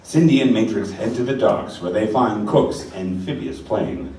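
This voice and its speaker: A man narrates a scripted radio play from some distance. The hall is very echoey, with faint noise, and his pitch moves freely. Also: expressive, normal speed, a little mellow.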